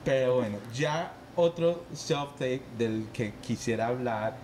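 A young man leads a relaxed group chat as director and host. His voice sits at a medium pitch, recorded on a podcast mic with faint noise behind it. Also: takes it slowly. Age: young adult. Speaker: film director leading cast discussion. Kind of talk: casual podcast conversation. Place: shop with shelves of goods, indoors. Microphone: podcast mic on boom arm.

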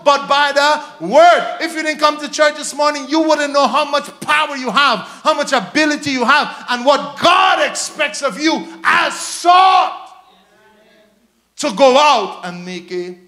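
Guyanese man, pastor at the pulpit. Very high-pitched, forceful delivery, exhorting and driving phrases home by repetition. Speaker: pastor preaching. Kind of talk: sermon. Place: church stage, indoors. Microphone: handheld microphone.

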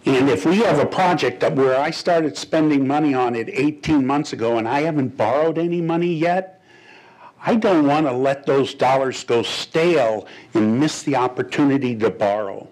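A man's voice: mid-range voice, normal speed, darkish tone, poor audio. An older man on a desk microphone in a boardroom, explaining a financial item to a board in a plain-spoken, gesturing way with frequent restarts. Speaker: finance official. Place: boardroom, indoors. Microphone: desk microphone on a stand.

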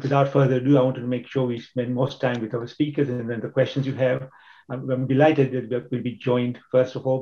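A man hosting an online event, introducing the speakers with many halting restarts. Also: mid-range voice, highs cut off. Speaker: host.

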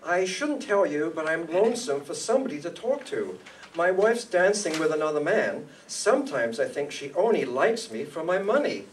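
A man's voice: on the high side, big pitch swings, moderate pace. An older man playing a lonesome, boastful, eccentric millionaire in a comic old-time radio style. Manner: plaintive, boastful. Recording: distant room microphone, clicks, faint noise.

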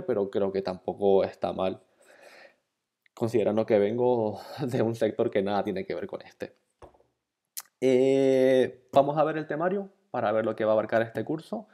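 A man from Bolivia, introducing an online programming course in a relaxed, conversational way. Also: fairly low pitch. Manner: relaxed, conversational, with fillers and repeated encouragement. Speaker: course instructor. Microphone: voice-over over slides.

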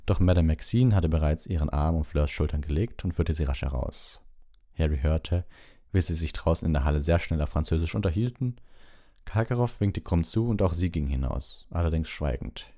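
A man's voice: a bass voice, normal speed, mellow, no echo, clean studio sound. A man reading a novel aloud as an audiobook narrator, in scripted literary narration.

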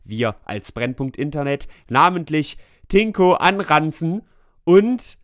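A man's voice: fairly high pitch, sing-song, slow, no echo, studio quality. A man co-hosting a podcast in casual banter, joking and teasing his partner and laughing often. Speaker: podcast co-host.

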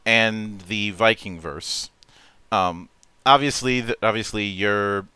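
A man hosts a live interview show with a bright, forward voice. He tends to stammer and restate his phrases. The sound is clean and dry, with a smooth, resonant tone. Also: lowish voice, slow pace, no room sound. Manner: stammering, repeats phrases as he asks. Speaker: show host.

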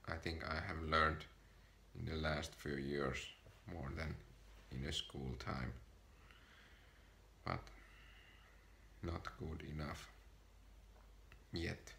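A young Finnish man with a Finnish accent speaks haltingly to a camera while sitting on a sofa in a small room. His voice is very deep and gravelly, yet it has a bright edge. He talks slowly and flatly, in short, hesitant bursts, with faint room noise behind him. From Finland. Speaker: vlogger. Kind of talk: personal vlog to camera. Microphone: camera in front of him.

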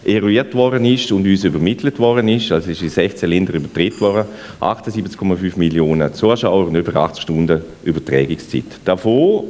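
A man's voice: low voice, a little mellow. A young man with a presenting on stage with a handheld mic, chatty and loose, with fillers. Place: event hall, on stage. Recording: long hall reverb.

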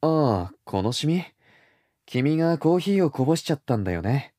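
A man playing a gentle, teasing young boyfriend in an intimate ASMR roleplay, with a soft, breathy, murmuring delivery. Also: on the high side, big pitch swings, no room sound, studio quality. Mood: tender, reassuring. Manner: slow, tender, murmuring, slipping into whispers. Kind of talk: intimate ASMR boyfriend roleplay in Japanese audio drama style.

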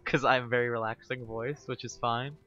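A male game streamer in casual live chat with viewers, excitable and teasing, laughing often. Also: mid-range voice, fairly quick, lo-fi sound, soft background music.

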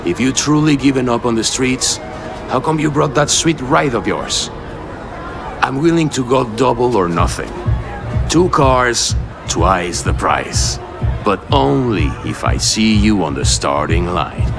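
An actor playing a smug, flirtatious street-racing boss who taunts with self-assurance in a game cutscene. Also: male voice, mid-range voice, lively intonation, a little slow, gravelly, over music. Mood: taunting, smooth. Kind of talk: video game cutscene acting.

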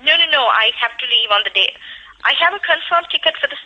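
A woman's voice: high voice, animated, fast, pushed and bright. A woman answering a prank call on the phone, polite but puzzled and firm. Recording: dull telephone line.

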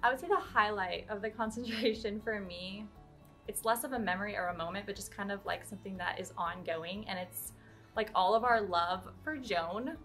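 A woman's voice: mid-range voice, normal speed. Young woman student, laid back, lots of likes, faint music. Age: young adult. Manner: relaxed, says like often. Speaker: design student. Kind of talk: casual interview answers.

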